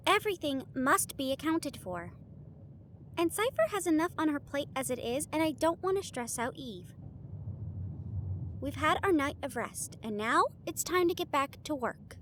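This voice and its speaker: A woman's voice: high-pitched, expressive, unhurried, a bit bright, slight background noise. A woman playing an earnest, studious, slightly bossy young woman in a scripted audio roleplay, speaking in an organized, teasing, formal way. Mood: earnest, businesslike.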